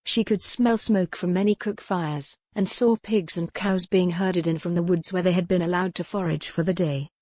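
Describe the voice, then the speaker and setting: A woman's voice: lowish voice, steady tone, normal speed, hardly any pauses, darkish tone, no room sound, clean studio sound. A woman reading scripted dramatic fiction as an audiobook narrator.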